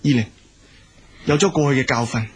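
An actor playing an earnest, forgiving young boyfriend in a radio drama, speaking Hong Kong Cantonese with emotional delivery. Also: male voice, mid-range voice, moderate pace, a little hiss or hum, natural voice. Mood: remorseful, tender.

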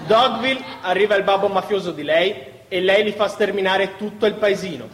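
A man's voice: high-pitched, moderate pace. A man performing a live theatre recitation as a stage actor. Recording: echoey theatre hall, long reverb.

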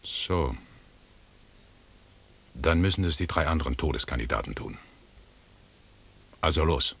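An actor playing a stern, commanding expedition leader in a German radio drama, speaking with measured authority. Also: male voice, a bass voice, expressive, creaky, a bit bright, breathy edge, full character voice. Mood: cold command.

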